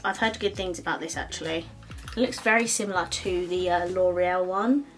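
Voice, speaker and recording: A woman's voice: lowish voice, faint music behind. A woman in her twenties with an Essex accent, chatting casually while doing her makeup, with plenty of ums and likes. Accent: Essex English. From Essex, England. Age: 20s. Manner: chatty, lots of ums and likes, quick laughs. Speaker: beauty vlogger. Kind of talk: chatty get-ready-with-me talk.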